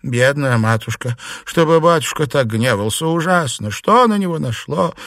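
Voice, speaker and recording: A man's voice: on the high side, lively intonation, normal speed, a bit bright, no room sound, clean studio sound, pitched above the performer's own voice. A man voicing a deferential old female servant who speaks meekly and soothingly.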